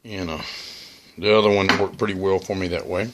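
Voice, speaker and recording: A man giving a casual hands-on demonstration as a radio hobbyist, talking in a halting, plain-spoken, cautionary way. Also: deep voice, fairly even intonation, heavy background noise. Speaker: amateur radio hobbyist presenting a how-to video.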